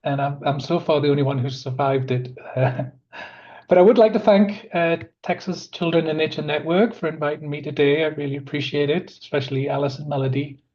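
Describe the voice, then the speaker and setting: A croaky-voiced man in his fifties gives a webinar presentation on a video call. He speaks a little higher than most men, earnest and conversational, with plenty of "uh"s. The audio sounds dull and lo-fi. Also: brisk, darkish tone. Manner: conversational and earnest, with many fillers. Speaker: park planning manager presenting a webinar.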